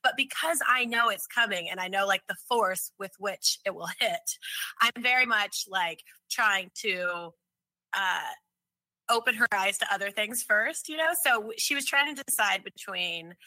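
A woman in her thirties chats casually as a co-host. Her voice is very bright and forward, recorded close and dry. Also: mid-range voice, unhurried, no room sound, poor audio. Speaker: podcast co-host. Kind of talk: casual chat.

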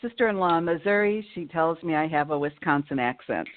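A woman's voice: low-pitched, animated, fairly quick, slight background noise. A woman from Illinois, a nurse and cancer survivor, telling her story as a guest calling in to a radio interview. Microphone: phone. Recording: tinny phone line.

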